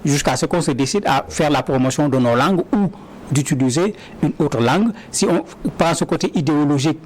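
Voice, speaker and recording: A man's voice: a little high, brisk, darkish tone. A man answering interview questions earnestly, often repeating words as he starts a sentence. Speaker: interview guest.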